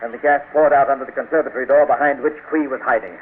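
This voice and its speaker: An actor playing an earnest, inquisitive British doctor and loyal sidekick, exclamatory, in a 1930s radio drama. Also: male voice, mid-range voice, fairly even intonation, rapid-fire, close to an everyday voice. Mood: curious, earnest. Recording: tinny, noisy 1930s radio recording.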